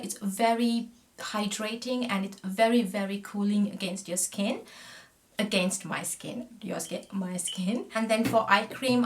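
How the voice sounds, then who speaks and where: A talkative woman in her thirties from Sri Lanka speaks to a close camera in a small indoor room. Her voice sits at a middle pitch, and she chats in a friendly, rambling way while working on her makeup, often trailing off mid-sentence. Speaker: makeup vlogger. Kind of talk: chatty makeup tutorial. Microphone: camera facing her at close range.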